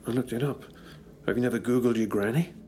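An actor playing a brisk, confident, playful male time traveller in an audio drama. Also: medium pitch, warm tone, slight background noise, lightly acted.

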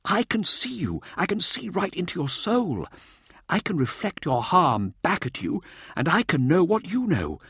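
Audiobook role: male reader as excitable male expedition scientist, insistent showboat, high voice, very animated, didactic. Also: unhurried, slightly rough, no echo, clean studio sound, full character voice. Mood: intense. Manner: theatrical, pressing, didactic. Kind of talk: audiobook character dialogue.

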